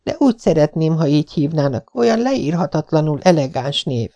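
A man's voice: fairly high pitch, very animated, mellow. A man reading an audiobook voices a dreamy, dramatic young orphan girl with a theatrical, pleading manner.

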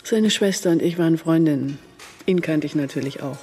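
A woman's voice: low voice, brisk, mellow, soft background music. An actress playing a shrewd, worldly German woman agent in a radio crime drama, cool and matter-of-fact in manner. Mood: knowing, matter-of-fact.

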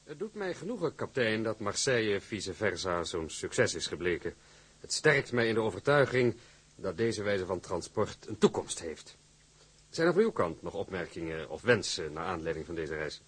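A formal, calculating shipping boss in a radio play. His voice is husky and lowish, and it swings widely in pitch while he keeps a measured, businesslike manner. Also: very animated, full character voice. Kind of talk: Dutch radio drama acting.

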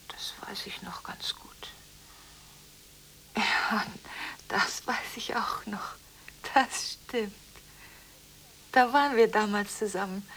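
An actress in a radio drama playing an emotionally torn daughter on the phone, halting and often near a whisper, on a hissy recording. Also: female voice, fairly high pitch, fairly bright tone, low-quality recording. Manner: halting, stammering, pleading, breaking into whispers. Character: distraught, emotionally torn daughter on a late-night phone call. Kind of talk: 1980s German radio drama acting.

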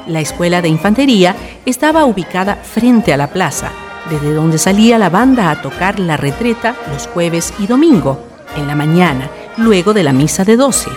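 Lowish-voiced Chilean woman narrating. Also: animated, moderate pace. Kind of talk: scripted radio drama narration. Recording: music bed behind narration.

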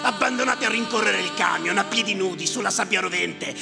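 Gravelly, high-pitched man declaiming a bitter accusation. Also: fairly quick. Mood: accusing, fierce. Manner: declamatory, incantatory, repeating phrases. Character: harsh, mocking man railing at doomed migrants. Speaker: stage actor. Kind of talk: theatrical monologue with live music. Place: theatre stage. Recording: reverberant hall, music behind.

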